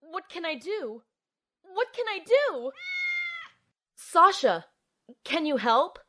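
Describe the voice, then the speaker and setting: A woman's voice: very high-pitched, slow, noisy background, exaggerated voice. A woman playing an earnest, determined young operative, telling and acting out her mission expressively in an audio drama. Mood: urgent. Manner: expressive, storytelling. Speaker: narrator and lead character.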